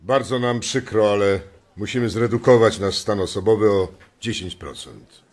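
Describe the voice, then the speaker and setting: A man acts out a male bank executive in a radio play. His voice is fairly low, strong and clear, and he addresses staff formally and solemnly, with measured delivery. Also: lightly acted. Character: male bank department chief, formal, solemn, announcing layoffs. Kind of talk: radio drama acting.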